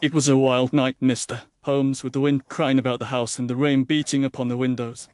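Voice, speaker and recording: A man voicing an earnest, troubled gentleman who speaks formally and emphatically, in an audiobook. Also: mid-range voice, little pitch movement, fairly quick, clear, resonant tone, dry recording, pitched above the performer's own voice, close to an everyday voice. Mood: earnest, insistent. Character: Sir Alastair, earnest, troubled gentleman under questioning. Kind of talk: audiobook character dialogue.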